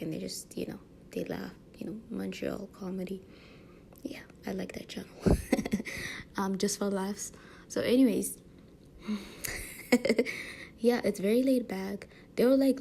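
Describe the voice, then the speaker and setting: A woman's voice: mid-range voice, creaky, a little hiss or hum. A woman with a light French accent talking casually to her viewers, rambling with many fillers and "you know what I mean?" tag questions. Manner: frequent soft laughs. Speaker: vlogger. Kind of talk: casual monologue to camera.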